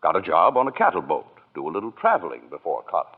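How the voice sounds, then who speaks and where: A folksy, patient American elder narrator, very deep yet animated. He explains things as a radio drama storyteller. The sound is narrow and muffled, like an old broadcast. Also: quick pace, heavily acted. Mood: genial storytelling.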